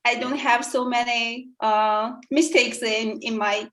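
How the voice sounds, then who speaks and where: A woman's voice: a little high, fairly even intonation, measured pace, a bit bright, reverberant. Hesitant Chinese-accented woman, giggly. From China. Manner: hesitant, many fillers, giggly. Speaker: guest. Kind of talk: podcast interview, learner English.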